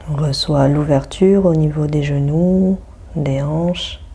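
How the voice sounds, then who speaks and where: A woman leads a guided relaxation very slowly, with long silences between phrases. Her voice is on the low side and husky, with a soothing, hushed delivery. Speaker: yoga nidra guide. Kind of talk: guided meditation.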